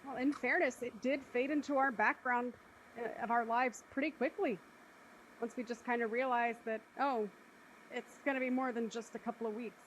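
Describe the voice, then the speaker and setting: A woman's voice: a little high, fairly even intonation, moderate pace, a little hiss or hum, poor audio. A woman hosting a casual online panel discussion about a show. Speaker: host of an online audio drama show.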